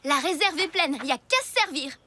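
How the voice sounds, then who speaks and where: A woman's voice: high voice, brisk, noisy background, close to an everyday voice. A woman voicing a practical, matter-of-fact young child in a prehistoric cartoon. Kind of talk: French cartoon dub acting.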